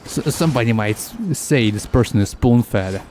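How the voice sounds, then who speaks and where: A man who is a native Russian speaker, streaming a game with casual, halting, jokey commentary. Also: mid-range voice, a little mellow, a little hiss or hum.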